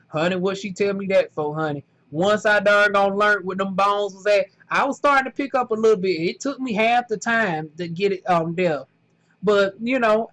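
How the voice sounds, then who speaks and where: A man's voice: sits high, fairly bright tone. A man with a strong Southern US accent, chatting casually to camera in a rambling, storytelling way, tossing in "honey" and "y'all.". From southern United States. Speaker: vlogger.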